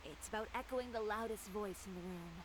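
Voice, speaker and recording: An actress playing a proud, self-reliant female tailor who speaks in a dry, composed, quiet way. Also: mid-range voice, a little fast, noisy background, close to an everyday voice. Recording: game audio with background ambience.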